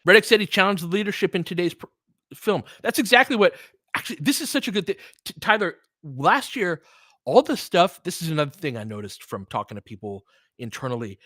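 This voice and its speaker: A man's voice: high voice, big pitch swings, brisk, fairly bright tone, no echo, studio quality. An American man hosting a casual, unscripted sports livestream, speaking in a candid, opinionated and emphatic conversational way. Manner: candid and opinionated, swears freely. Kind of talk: casual, unscripted sports commentary chatting with listeners.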